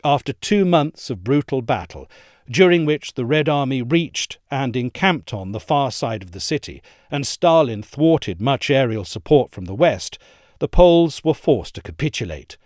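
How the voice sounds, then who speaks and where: A man giving a measured, articulate scripted narration of a history essay. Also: mid-range voice, sing-song, darkish tone, no echo, studio quality. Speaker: essay reader.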